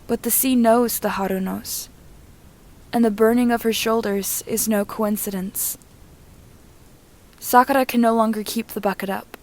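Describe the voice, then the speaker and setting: A woman reading fan fiction aloud as an amateur audiobook narrator, in a measured, deliberate manner with short phrases. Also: fairly high pitch, fairly even intonation, a little mellow, rough recording.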